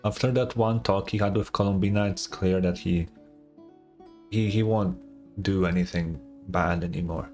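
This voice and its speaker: A man's voice: deep voice, fairly even intonation, moderate pace, a little mellow. A man giving casual, slangy gameplay commentary as a streamer, reacting aloud somewhat hesitantly. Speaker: game streamer. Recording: game music behind the voice.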